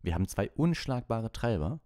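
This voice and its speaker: A man's voice: on the low side, big pitch swings, normal speed, dark tone, no echo, clean studio sound, voice pushed up. A man voicing an intense, desperate schoolboy team captain in an audiobook, with emphatic, stressed phrases. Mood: fierce pride. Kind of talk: audiobook character voice.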